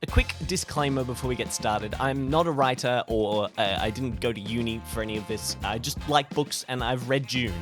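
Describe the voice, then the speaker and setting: A man's voice: medium pitch, moderate pace, hardly any pauses, over music. A young man giving casual advice in a vlog, with a wry, self-deprecating manner. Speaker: video host.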